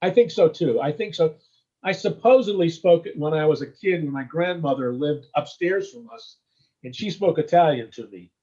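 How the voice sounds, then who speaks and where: A man from the United States in a casual interview, rambling warmly and tagging his sentences with "okay?" and "you know?". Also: on the high side, lively intonation, normal speed, highs cut off.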